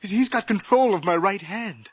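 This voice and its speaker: Male haunted victim for radio horror: lighter-pitched, stammering, fearful, dry muffled old recording. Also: breathy edge, dry recording. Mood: desperate, urgent. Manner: hesitant, halting, stammering. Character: tormented, fearful man possessed by his dead twin. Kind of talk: radio horror drama acting.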